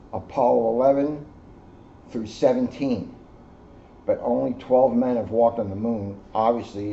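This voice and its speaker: A middle-aged American man giving an informal educational talk in a deliberate, emphatic way, phrase by phrase. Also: mid-range voice, mellow. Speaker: police officer reading to children. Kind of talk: informal educational talk and picture-book reading. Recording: muffled audio with clear background noise.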